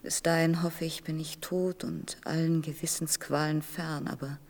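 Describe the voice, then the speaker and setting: A woman acting a bitter yet tender wartime mother in a German radio play, intimate and halting, with a husky, often whispering voice. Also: low voice, level tone, a little mellow. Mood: bitter, anguished.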